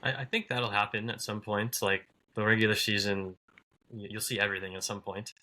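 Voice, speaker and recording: A man co-hosting a casual sports podcast, answering in brief, measured remarks. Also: lowish voice, level tone, sharp tone, a little hiss or hum. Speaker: podcast co-host.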